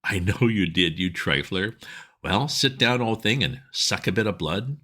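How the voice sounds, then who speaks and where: A man playing a cocky young clubman, breezy and jaunty, bouncing his lines around a low voice. He sounds pleased with himself and quick to tease. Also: expressive, pushed and bright, exaggerated voice.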